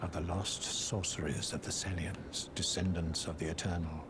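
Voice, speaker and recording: A man's voice: sits low, flat delivery, normal speed, background noise, natural voice. A man playing a grave, courtly sage of sorcery in a video game, with solemn, archaic phrasing. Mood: solemn, grateful. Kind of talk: video game character acting.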